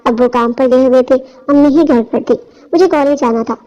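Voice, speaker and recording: Woman, very high pitch, scripted story narration, first person, slightly muffled sound. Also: moderate pace, mellow. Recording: dull, lo-fi audio.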